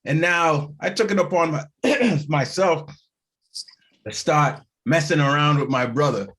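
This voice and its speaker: A man's voice: fairly high pitch, a little slow. A man from Haiti, a guest actor, telling a story in a casual interview, laughing at himself and acting out the people he quotes. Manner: animated storyteller who acts out quoted voices and laughs at himself.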